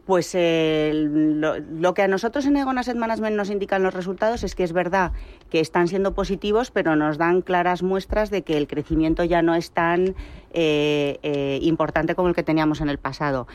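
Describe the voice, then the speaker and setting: A woman speaking as a panel guest, in measured, halting phrases. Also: on the low side, a little mellow. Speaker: financial analyst, panel guest. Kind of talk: unscripted market commentary.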